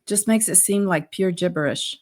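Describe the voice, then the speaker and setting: Lower-pitched woman co-host. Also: moderate pace, a little mellow. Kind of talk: casual discussion of a trial.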